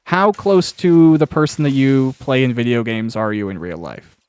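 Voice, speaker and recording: A man's voice: mid-range voice, moderate pace, darkish tone, clear, resonant tone, no room sound. A man hosting a casual chat while playing a video game, rambling along, swearing casually and acting out imagined quotes. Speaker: podcast host interviewing a cast member.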